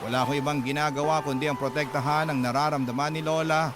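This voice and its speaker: A Filipino man narrates a first-person tale as a radio storyteller. His voice is somewhat higher than usual for a man. Music runs clearly beneath the narration. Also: steady tone, fast. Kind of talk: radio drama narration of a letter. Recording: music bed behind the voice.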